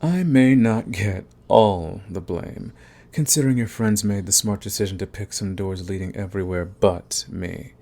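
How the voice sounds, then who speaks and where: A man's voice: fairly low pitch, normal speed, gravelly, dark tone. A man playing a smug, flirtatious villain who is fond of the enemy, in an intimate ASMR romance roleplay with taunting, drawling menace. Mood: commanding, teasing. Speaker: audio roleplay voice actor.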